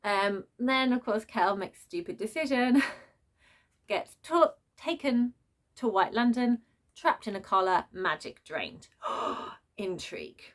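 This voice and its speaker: A woman's voice: medium pitch, slow. Emphatic woman ranting about her opinions. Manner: emphatic, expressive, informal. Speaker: book reviewer vlogger. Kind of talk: unscripted opinionated rant to viewers.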